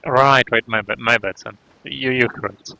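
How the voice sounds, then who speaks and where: A man's voice: mid-range voice, steady tone, moderate pace, gravelly, bright, forceful tone, dry recording, low-quality recording. A man talking casually as a game livestreamer.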